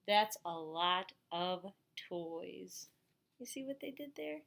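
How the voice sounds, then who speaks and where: Woman, middle-aged, bright forward voice, medium pitch, teacher's storytime read-aloud, expressive, chatty asides. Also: very slow, gravelly. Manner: expressive, addresses listeners with questions and commentary. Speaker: teacher reading a picture book aloud.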